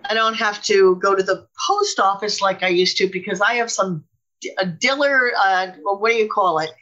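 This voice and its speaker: A middle-aged woman with a bright, keen-edged voice chats as a talk show co-host. She tells personal stories in animated, everyday language. The recording is a little muffled and lo-fi. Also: mid-range voice, moderate pace. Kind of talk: casual personal storytelling.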